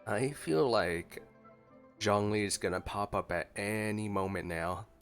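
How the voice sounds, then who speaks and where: Male streamer, unhurried pace, casual game reactions, faint music behind. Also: on the low side. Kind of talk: casual gameplay reaction commentary.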